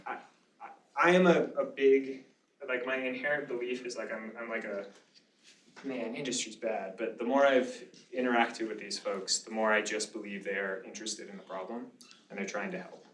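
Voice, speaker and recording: A low-pitched man gives informal lecture answers in a casual, conversational manner. He is picked up from a distance in an echoey room, with faint noise underneath. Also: fairly even intonation, normal speed, fairly bright tone. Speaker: lecturer, speaker answering questions. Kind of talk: informal question-and-answer after a talk.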